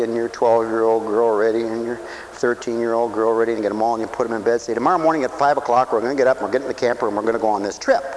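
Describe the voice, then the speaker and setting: A man preaching a sermon for radio, who swings from loud, stretched-out lines to quiet asides and acts out other people's voices. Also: mid-range voice, a little hiss or hum, low-quality recording. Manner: dramatic swings from shouting to near whisper, acts out voices.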